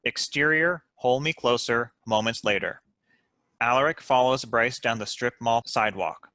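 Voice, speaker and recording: A man's voice: medium pitch, moderate pace, bright, forceful tone, no room sound. A man reading stage directions as scripted narration for a table read.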